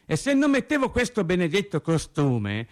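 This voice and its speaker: A male actor playing a wry storyteller recalling boyhood with childlike logic, in an expressive, conversational theatrical monologue. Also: sits high, moderate pace. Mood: wry, self-justifying. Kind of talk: theatrical first-person radio monologue.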